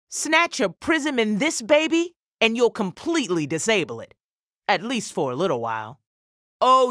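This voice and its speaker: An actress voicing a big, brawny, jovial gem blacksmith in an animated video game, with a punchy, folksy swagger. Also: female voice, on the high side, no room sound, clean studio sound, full character voice.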